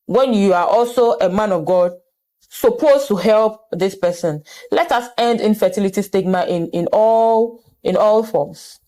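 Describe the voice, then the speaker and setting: A woman's voice: mid-range voice, warm tone, rough recording. Earnest Ghanaian woman interviewer. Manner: earnest, probing, restates answers. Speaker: interviewer and host. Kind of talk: interview with advocacy appeals.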